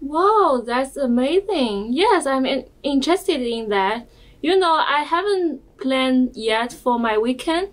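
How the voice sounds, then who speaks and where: Friendly puppet-show pal played by a woman: high pitch, unhurried halting lines, apologetic amateur skit feel. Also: expressive. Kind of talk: scripted amateur dialogue.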